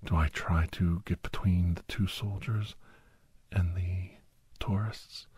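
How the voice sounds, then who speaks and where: A man playing a grave, anxious doctor and old soldier telling a battle story in hushed, halting, breathy ASMR narration that often drops into whispers. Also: low voice, exaggerated voice. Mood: tense, deliberate. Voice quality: breathy, husky. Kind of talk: ASMR first-person dramatic story narration.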